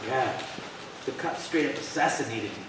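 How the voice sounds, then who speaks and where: An actor plays a cynical, blunt young man in casual stage dialogue. His mid-pitched voice is distant, in a very echoey hall with faint noise. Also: animated, moderate pace, fairly bright tone, lightly acted. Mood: blunt, low-key.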